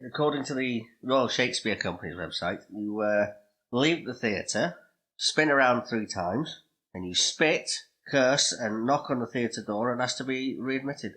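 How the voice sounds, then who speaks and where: A man with a bright, crisp-edged voice at a medium pitch. He talks as a podcast co-host, choosing slightly formal wording in a measured, conversational way. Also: rough recording. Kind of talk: casual podcast discussion.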